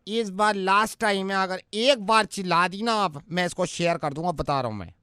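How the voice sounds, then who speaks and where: A man's voice: high-pitched, moderate pace, bright, forceful tone, clear, resonant tone, no room sound, clean studio sound. A man playing a cheeky, falsely familiar young acquaintance who needles and bosses the person he prank calls on radio. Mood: bossy, threatening. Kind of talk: radio prank phone call.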